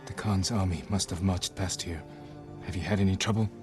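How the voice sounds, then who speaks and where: A solemn young samurai lord, played by a man with a low voice over prominent music. He is measured and respectful, understated in a cinematic game style. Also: fairly even intonation, natural voice. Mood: gentle, reserved. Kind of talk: cinematic video game acting.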